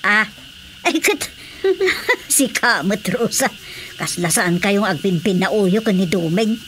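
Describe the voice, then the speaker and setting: A woman's voice: mid-range voice, expressive, slow, a bit bright, a little hiss or hum. An actress playing a chatty, wistful older mother with a Filipino accent in a radio drama comedy. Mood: coy.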